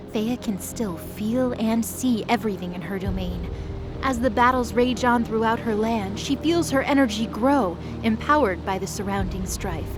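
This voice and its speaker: Woman narrating a drama over music. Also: fairly high pitch. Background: background music.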